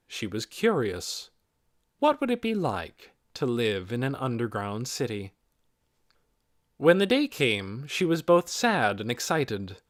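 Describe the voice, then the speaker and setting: Male narrator for fiction audiobook, medium pitch, lively melodic range, very dry recording. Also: no room sound.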